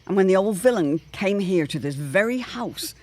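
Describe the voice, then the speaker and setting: A woman's voice: medium pitch, expressive, moderate pace, warm tone, lightly acted. A woman playing an anxious, eloquent neighbourhood matron in a period radio drama, speaking in formal, theatrical phrases. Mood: troubled, foreboding. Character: Jane Pembroke, anxious, eloquent older neighbourhood matron with premonitions.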